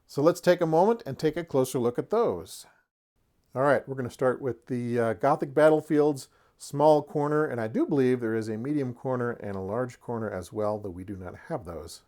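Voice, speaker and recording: A man with a mid-pitched voice hosts a casual product showcase. He talks in a chatty, folksy way, like someone sharing a hobby, and slips in lightly humorous asides as he describes things. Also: normal speed, warm tone. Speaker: host of a tabletop wargaming terrain review.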